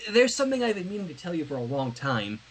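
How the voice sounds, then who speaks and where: A man's voice: fairly high pitch, big pitch swings, fast, a little hiss or hum, rough recording. A man voicing a flustered young pony princess in human form, rambling anxiously and earnestly in a dramatic fanfiction reading. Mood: nervous, earnest. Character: flustered young female pony princess turned human, nervously confessing love.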